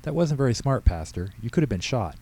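A man reads as a woman police officer in a storybook tale. She is calm and practical, questioning directly and with kindly firmness, the pitch a little raised. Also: brisk, breathy edge.

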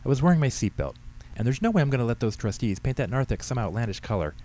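A man's voice: fairly low pitch, big pitch swings, fast talker, mellow, pitched above the performer's own voice. A man voicing a bossy, cantankerous old woman who huffs and scolds in an audiobook story. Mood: huffy. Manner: huffy, scolding. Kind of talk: audiobook character dialogue.

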